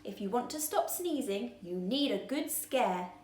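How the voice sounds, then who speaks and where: A woman's voice: fairly high pitch, animated, a little slow, a little mellow. A woman voicing a tiny, eager fish who talks in a cheerful, encouraging way. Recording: roomy echo.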